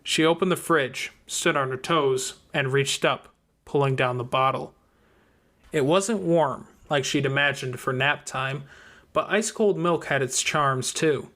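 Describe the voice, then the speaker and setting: A man's voice: mid-range voice, unhurried, a bit bright. A man reading a scripted fiction audiobook as its narrator. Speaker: audiobook reader.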